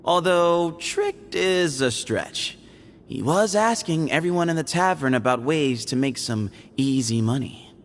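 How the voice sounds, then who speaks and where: A man voicing a sly, playful young man in a video game, teasing and evasive, with a relaxed manner. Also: on the high side, a little slow, slight background noise. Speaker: game character.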